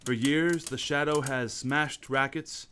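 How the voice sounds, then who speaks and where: Guy doing a cool-headed gentleman detective in a radio play, steady and in charge, mid voice. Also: mid-range voice, background noise, close to an everyday voice. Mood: determined. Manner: measured, commanding, determined. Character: Lamont Cranston, calm crime-fighting gentleman sleuth. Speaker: radio actor. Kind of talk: old-time radio drama acting.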